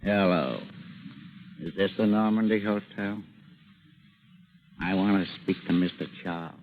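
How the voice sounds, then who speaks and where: An actor playing a shady, nervous small-time informant with furtive, slangy talk in an old radio drama. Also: male voice, on the low side. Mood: wary, insistent. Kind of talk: 1930s radio drama acting. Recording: tinny, hissy old radio broadcast.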